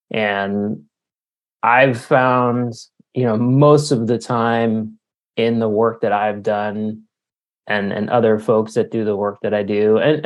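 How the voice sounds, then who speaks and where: A middle-aged man giving reflective, somewhat hesitant answers as an author and leadership coach being interviewed. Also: fairly low pitch.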